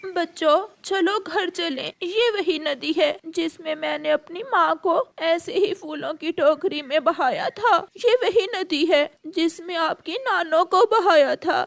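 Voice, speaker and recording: A gentle, motherly cartoon crow acted by a woman talks slowly in a high voice. She is solemn and soothing, a consoling neighbour aunt, heard in a dry recording. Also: vocal fry, dry recording, heavily acted. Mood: philosophical.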